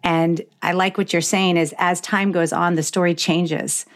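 A middle-aged woman with a low voice hosts an intimate interview. She speaks reflectively and conversationally, slipping into imagined quotes to make her points. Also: level tone, measured pace. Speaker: podcast host interviewing a guest.

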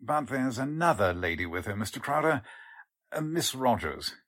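Man playing deferential clerk with lively pitch. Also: moderate pace. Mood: hesitant, insistent. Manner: polite, timidly insistent. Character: deferential, obedient chief clerk. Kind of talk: 1940s radio acting.

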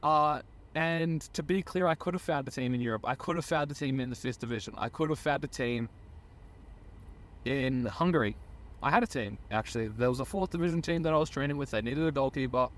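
A man's voice: mid-range voice, a little fast. A young man of about twenty, a podcast guest earnestly telling his own story in a casual way and often correcting himself mid-sentence. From Australia. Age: around 20.

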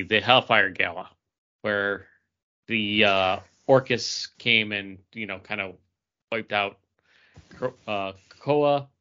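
A man's voice: lowish voice, pushed and bright, highs cut off, slight background noise. A man talking casually about comics as a podcast co-host.

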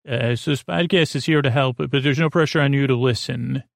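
A man hosting a sleep podcast with a meandering, goofy, halting monologue that drifts into tangents. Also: mid-range voice, gravelly, no echo, studio quality. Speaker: podcast host. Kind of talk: rambling bedtime monologue introducing a sleep podcast.

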